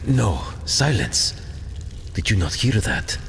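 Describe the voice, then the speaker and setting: A grave, imperious old-world king for an audio drama. He speaks slowly and tersely, with lively swings in a very low voice, inside a long reverberant hall. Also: creaky, soft background music, full character voice. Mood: stern. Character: Gilgamesh, a grave, commanding ancient king and master.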